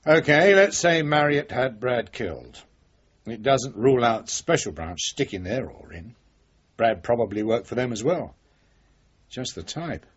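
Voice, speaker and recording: A British actor playing a cornered, wily, middle-aged chief inspector in a radio drama, blunt, sardonic and probing. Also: male voice, medium pitch, expressive, measured pace, husky. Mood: probing, cynical. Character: cornered, wily veteran police chief inspector, defiant and cynical. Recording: dull, muffled old radio recording.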